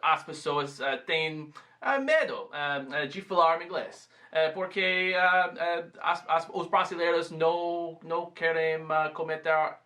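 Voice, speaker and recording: Man from the US, English native speaker, hesitant with lots of fillers, high bright voice. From United States. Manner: halting, many fillers and restarts. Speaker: guest. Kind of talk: casual conversation.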